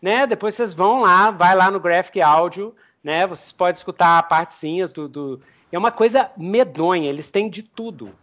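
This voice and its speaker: A man's voice: high voice, moderate pace, few pauses, fairly bright tone. A Brazilian man hosting a podcast in casual, enthusiastic interview chat. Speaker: podcast host.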